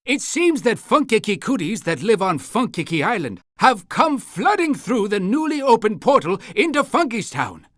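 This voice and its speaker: A man's voice: high voice, very animated, moderate pace, few pauses, a bit bright, no room sound, studio quality, exaggerated voice. A man voicing an eager, polite and fussy town-guide creature in a cartoon game, with theatrical announcing and awkward hesitations. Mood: helpful.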